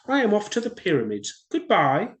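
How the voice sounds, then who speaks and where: A man's voice: high voice, wildly swooping pitch, moderate pace, voice pushed up. A man voicing a small, vain, self-important swallow in a storybook, with a fussy, exclamatory way of talking. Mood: indignant.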